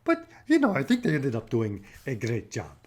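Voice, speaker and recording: A man's voice: medium pitch, wildly swooping pitch, moderate pace, a little mellow. A man hosting a children's video, chatting encouragingly to young viewers.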